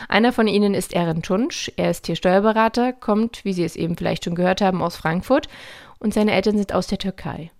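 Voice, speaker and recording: A female radio presenter with a medium-pitched voice. She narrates a scripted feature and speaks straight to the listener. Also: a little fast, a little mellow.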